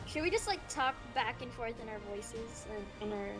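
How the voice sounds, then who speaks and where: A woman's voice: a little high, expressive, normal speed. Playful chatty woman on a stream. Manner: chatty, playful, asks questions. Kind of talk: casual live stream chat. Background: background music.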